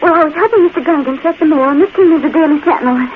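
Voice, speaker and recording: An actress playing an excitable, flustered woman who talks breathlessly and fussily, in 1940s radio acting. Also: high-pitched, racing, narrow, tinny audio, natural voice. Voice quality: breathless, fussy. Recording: thin hissy 1940s radio broadcast.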